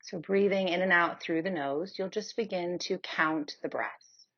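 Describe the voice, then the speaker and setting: A woman leading a guided yoga nidra meditation in a soothing, unhurried manner with long pauses. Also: fairly low pitch, a bit bright, highs cut off.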